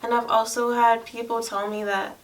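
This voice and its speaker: A soft-spoken young woman with a girlish voice, chatting casually and candidly to camera about personal things. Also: fairly high pitch, flat delivery, moderate pace. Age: young adult. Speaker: lifestyle vlogger. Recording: distant mic, faint room noise.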